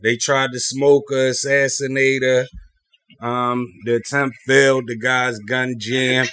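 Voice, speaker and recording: A man's voice: medium pitch, level tone, measured pace, sharp tone, clear, resonant tone. A man hosting a casual panel podcast, putting questions to his co-hosts and listeners in slangy, conversational speech. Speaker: podcast host.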